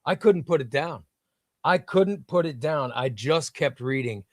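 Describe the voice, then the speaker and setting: Brief: male comics stream host, somewhat high voice, dry close mic, eager and repetitive. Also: lively intonation, unhurried, no room sound. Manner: enthusiastic, repeats himself, reads viewer comments aloud. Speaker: livestream host. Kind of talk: casual livestream chat reading comments.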